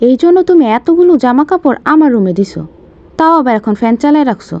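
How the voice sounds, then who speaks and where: A woman's voice: high-pitched, lively intonation, quick pace, mellow, muffled recording. A woman voicing a sulky, put-upon cartoon youngster with a Bangladeshi Bengali accent, whining indignantly in colloquial speech. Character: sulky, put-upon grown child of a nagging mother.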